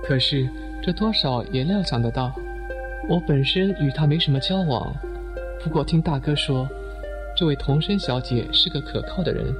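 A man's voice: on the high side, expressive, moderate pace, music in the background, close to an everyday voice. A man playing a serious middle-aged member of a wealthy family in a detective audio drama. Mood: serious, measured.

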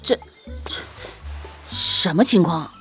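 A woman playing a mild young husband and father in a Chinese period audio drama, polite and measured. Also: on the low side, lively intonation, soft background music. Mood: uneasy.

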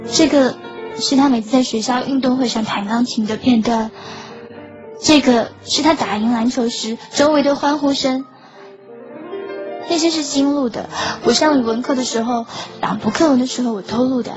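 A woman playing a teenage schoolgirl in an audio drama, narrating softly and wistfully. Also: high voice, moderate pace, close to an everyday voice. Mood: gentle. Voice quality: soft, wistful. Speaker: lead and narrator. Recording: dull lo-fi sound with loud music.

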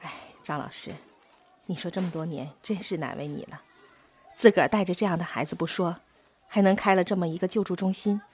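A woman's voice: fairly low pitch, a little fast, darkish tone, husky. An actress playing a grateful, sympathetic mother in a radio drama, speaking in a conversational way. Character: grateful, sympathetic mother of a special-needs child.

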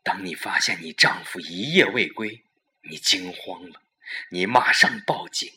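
Audio drama villain, male performer: excited murder plotter, emphatic and commanding, intensely bright, tense delivery. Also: low voice, unhurried, heavily acted. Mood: excited, scheming.

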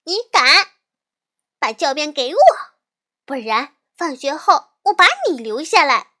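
A woman voicing an angry, bossy little girl bear cub who shouts indignantly. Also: extremely high pitch, a little slow, pitched above the performer's own voice.

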